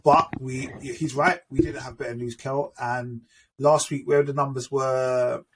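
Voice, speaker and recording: A man's voice: medium pitch, steady tone, unhurried, breathy edge. A man hosting a casual chat, easygoing and agreeable as he leads the segments. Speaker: podcast host. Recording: distant mic with faint background noise.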